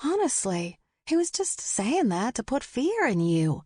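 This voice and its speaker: A narrator as an exasperated woman, pitched up. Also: big pitch swings, a little slow, mellow, breathy edge, no room sound, clean studio sound, heavily acted. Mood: dismissive. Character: exasperated, defensive woman, the story's heroine.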